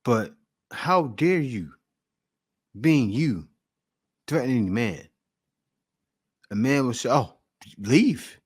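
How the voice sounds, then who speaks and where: A man's voice: medium pitch, animated, slow, long pauses, slightly rough. A man hosting a reaction video, who speaks in a blunt, lecturing and emphatic way. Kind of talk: opinionated reaction commentary.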